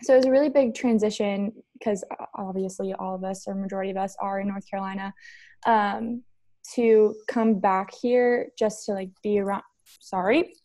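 An American woman in her early twenties from Texas, answering casually and haltingly on a video call. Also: medium pitch, fairly even intonation, mellow, dry recording. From Texas, USA. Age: early 20s. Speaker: college volleyball player, interview guest. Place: indoor room on a video call.

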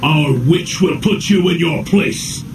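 Cold pompous game cultist soldier, highish voice w/ gravel, echoey. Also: male voice, takes it slowly, far from the mic. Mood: cold, menacing. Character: fanatical, haughty armored cult enforcer, man. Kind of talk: video game cutscene acting.

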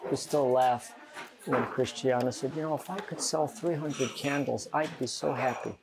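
An older man in an interview, speaking haltingly and heartfelt, on a lapel mic in a shop. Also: mid-range voice, fairly even intonation, vocal fry, a crowd in the background. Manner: hesitant, heartfelt, with fillers. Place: candle shop, indoors.

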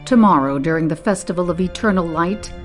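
An actress playing a cold, haughty, menacing overseer in an audio drama, speaking deliberately. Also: female voice, fairly low pitch, faint music behind, natural voice. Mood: coldly commanding. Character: cold, imperious woman interrogator, menacing zealous overseer.

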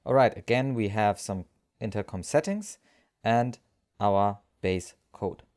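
A man narrating a software tutorial as its presenter, explaining things step by step. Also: on the low side, takes it slowly.

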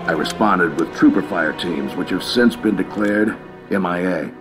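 An actor playing a stern, resolute senior military commander in a video game, clipped and formal. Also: male voice, low voice, moderate pace, music in the background, lightly acted. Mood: grave. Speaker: military colonel giving a briefing. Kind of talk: video game cutscene acting.

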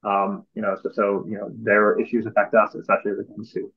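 A man in his twenties, a guest commentator in casual discussion, speaking haltingly and repeating words. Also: fairly low pitch, completely flat delivery, a little hiss or hum. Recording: thin, phone-like video call audio.

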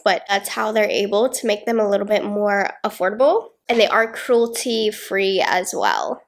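A young woman speaks with a congested, nasal tone, clearly stuffed up. Her talk is chatty and upbeat as she walks through a makeup tutorial, pitched a little higher than most women. She is close to the camera in a small room at home. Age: young adult. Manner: chatty, upbeat, laughs often while working. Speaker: beauty vlogger. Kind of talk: casual makeup tutorial chat.

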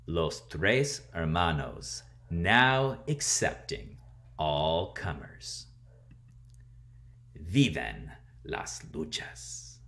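A man in his thirties reading a picture book aloud and explaining things to children in a theatrical, expressive way. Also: low-pitched, drawn-out words, gravelly. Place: small room at home, indoors.

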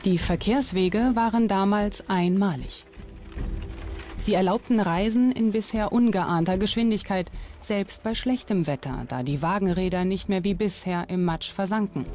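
Woman doing scripted documentary voiceover, medium pitch, bit of music behind her. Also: moderate pace, mellow. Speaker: documentary narrator. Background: background music.

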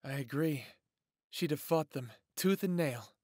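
A man's voice: on the high side, animated, unhurried, mellow, dry recording, clean studio sound. An actor playing a considerate, easygoing male werewolf in an audio drama, with a conversational delivery. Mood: thoughtful.

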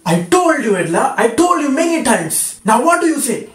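A man's voice: very high voice, big pitch swings, moderate pace, a bit bright, heavily acted. A man playing a stern, irritable wealthy father in an amateur radio drama, with a blustery, scolding manner. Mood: angry scolding. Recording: echoey room.

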